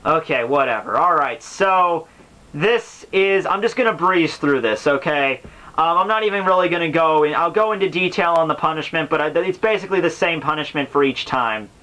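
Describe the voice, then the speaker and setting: A man's voice: fairly high pitch, animated, normal speed, pushed and bright, low-quality recording. A man giving casual, unscripted explanatory commentary as a host, rambling and slangy in his delivery. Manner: rambling, slangy, with exaggerated exasperation. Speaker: host of a book summary series.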